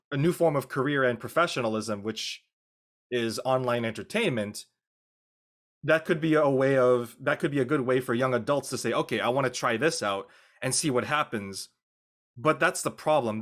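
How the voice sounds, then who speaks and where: A man speaking as an actor on a casual panel, earnest and deliberate in how he explains his thoughts. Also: medium pitch, a bit bright.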